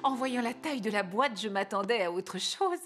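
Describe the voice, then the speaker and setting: An actress playing a polite, expressive woman professor in a French animated cartoon. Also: mid-range voice, moderate pace, soft background music, close to an everyday voice. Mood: delighted. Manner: expressive, courteous. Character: polite, cheerful woman professor, adult, warmly delighted.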